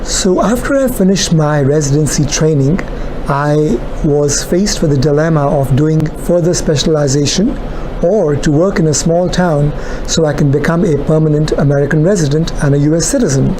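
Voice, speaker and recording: A middle-aged man from India answering interview questions in a measured, earnest way, with long pauses. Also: a little high, noisy background. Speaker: interviewee, cardiologist.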